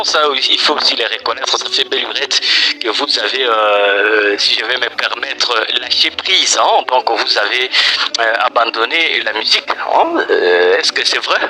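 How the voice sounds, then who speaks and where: A man's voice: mid-range voice, poor audio. A man with a Congolese accent presenting and interviewing as a show host, repeating phrases with emphatic tags.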